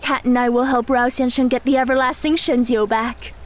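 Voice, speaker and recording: A woman's voice: on the high side, steady tone, fairly quick, close to an everyday voice. A woman playing a devoted, determined young female adventurer in an audio drama.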